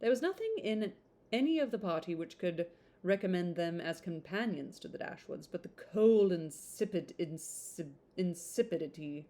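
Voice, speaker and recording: Lady with a lowish voice reading an old novel out loud, casual and expressive, trips on words sometimes. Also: moderate pace. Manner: stumbles over words and rereads them. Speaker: host reading a classic novel aloud. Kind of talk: informal read-aloud with chatty asides.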